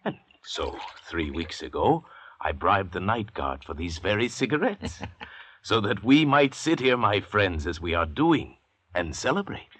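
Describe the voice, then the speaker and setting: A suave, cold-blooded gentleman convict from a vintage radio play. His voice is lowish and rough-edged, with a forceful bright bite. He speaks in a deliberate, urbane, condescending way. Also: very animated, no echo, full character voice. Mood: self-satisfied. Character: suave educated convict doctor, worldly, cool murderer. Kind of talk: 1940s radio drama acting.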